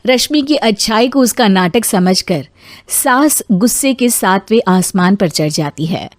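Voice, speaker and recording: A woman's voice: mid-range voice, animated, measured pace, no echo, studio quality. A woman narrating a scripted family moral story as a storyteller.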